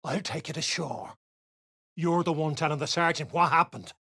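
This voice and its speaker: An actor voicing a gruff, surly dwarven raider guard in a video game, coarse and slangy, grumbling and barking orders. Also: mid-range voice, steady tone, normal speed, sharp tone, dry recording, studio quality. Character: gruff, surly duergar raider guard, rough-tongued underling. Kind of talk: video game character voice lines.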